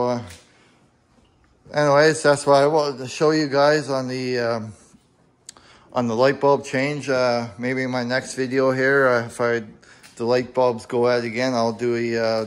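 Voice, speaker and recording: Halting man giving a casual how-to. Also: medium pitch. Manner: halting, hesitant, plain-spoken. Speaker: amateur DIY car repair video maker. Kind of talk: casual unscripted how-to explanation. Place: indoors in a home garage.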